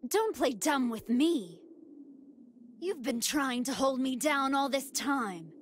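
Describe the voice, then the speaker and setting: An actress playing a hungry, volatile and menacing female android demon in a video game, with drawn-out, theatrical delivery. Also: sits high, expressive, slight background noise. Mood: gloating, sinister. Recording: music under the voice.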